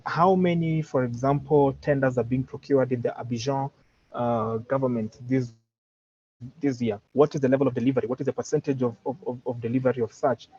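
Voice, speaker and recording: A muffled, lo-fi online call carries the voice of a Kenyan man at a middling pitch. His voice is somewhat husky. He sets out his opinion at length in formal, deliberate wording, circling back over words and reaching for examples as a seminar participant would. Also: steady tone, dark tone, no echo. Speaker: participant commenting in an online training session. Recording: dull, lo-fi online call audio.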